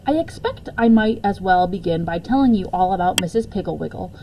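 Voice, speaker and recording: A woman reading a children's book aloud as a storyteller, expressively and deliberately, with occasional stumbles and self-corrections. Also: on the low side, lively intonation, a little mellow, slight background noise, rough recording. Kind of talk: read-aloud children's story with casual asides.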